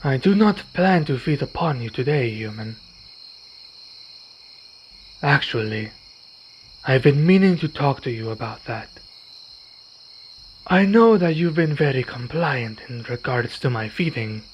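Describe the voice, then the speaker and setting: A man playing a weary, courteous vampire in an ASMR roleplay, speaking in formal, measured phrases. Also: mid-range voice, animated, close to an everyday voice. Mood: soft, wry. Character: weary, courteous male vampire, wounded but calm. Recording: dull recording with night insect noise. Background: crickets and night insects.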